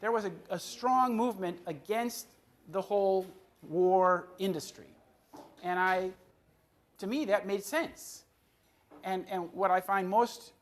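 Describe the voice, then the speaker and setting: A man in his fifties from the Chicago area, an author giving a public talk to an audience, often repeating words and restarting his phrases. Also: high voice. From Chicago area, USA. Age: 50s. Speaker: author on a book tour.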